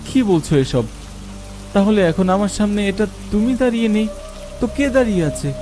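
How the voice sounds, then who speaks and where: A man's voice: sits high, brisk. Guy playing a baffled doubter telling his spooky cartoon tale, voice goes up and down a lot, music underneath. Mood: incredulous. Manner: questioning, incredulous, expressive. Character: sceptical, baffled man narrating his ghostly night encounter. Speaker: narrator and lead character. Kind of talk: first-person horror cartoon storytelling.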